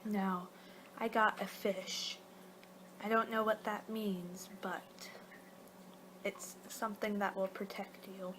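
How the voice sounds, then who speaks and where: A woman performing a soft-spoken, partly whispered ASMR roleplay as a casual vampire, rambling and halting as she goes. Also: mid-range voice, flat delivery, slight background noise, low-quality recording. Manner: rambling, halting, losing her train of thought. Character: young-for-her-kind female vampire guiding a client, casual, a little exasperated.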